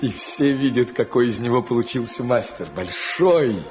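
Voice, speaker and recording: An actor playing a gossipy, excitable old father in a village radio drama, repeating his words for emphasis. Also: medium pitch, moderate pace, close to an everyday voice. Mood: excited. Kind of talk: Soviet radio drama acting. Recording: muffled 1970 radio play recording, faint music.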